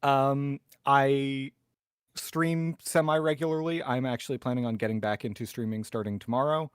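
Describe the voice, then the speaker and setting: A man's voice: mid-range voice, steady tone, normal speed, clear, resonant tone, no room sound, clean studio sound. A man introducing himself casually as a tabletop game player, with wordy, precise phrasing.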